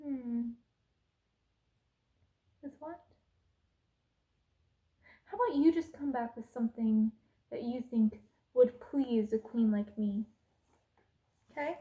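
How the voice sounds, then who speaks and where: Slow, imperious evil queen, acted by a woman. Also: fairly high pitch, dark tone. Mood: scornful, irritated. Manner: imperious, slow and deliberate, drops into menacing whispers. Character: haughty, cruel evil queen, threatening and contemptuous. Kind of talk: ASMR roleplay.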